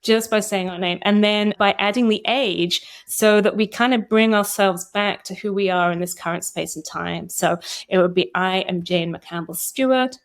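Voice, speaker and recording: A woman in her fifties speaking as a therapist guest, explaining and guiding an exercise in a measured, instructive way. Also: medium pitch, steady tone. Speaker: guest psychotherapist and trauma coach. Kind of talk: explanatory interview talk with guided exercise.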